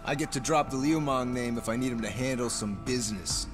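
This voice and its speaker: An actor playing a cocky young male high school gang leader in an English game dub, mocking, swaggering and slangy. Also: a little fast, close to an everyday voice. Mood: smug, taunting. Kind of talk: English video game dub cutscene acting. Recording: music under the voice.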